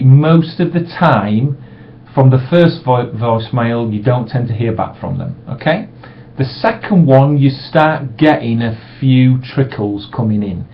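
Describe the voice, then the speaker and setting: Relaxed man on a webcam. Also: medium pitch. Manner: relaxed, reassuring, acts out sample voicemails brightly. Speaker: sales trainer. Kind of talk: casual webcam training talk. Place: small room indoors. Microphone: webcam microphone. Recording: dull lo-fi webcam audio.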